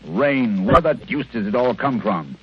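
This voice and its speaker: An actor playing a haughty, gloomy English gentleman detective, clipped and disdainful in 1940s radio style. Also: medium pitch, lively intonation, measured pace, breathy edge. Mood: gloomy, irritable. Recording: dull vintage radio recording.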